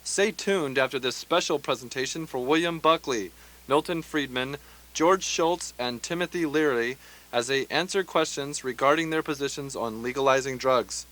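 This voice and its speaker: A formal, earnest broadcast announcer played by a man, reading scripted notices in a radio satire. The voice sits at an ordinary middle pitch. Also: normal speed, fairly bright tone, natural voice, rough recording. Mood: solemn, formal.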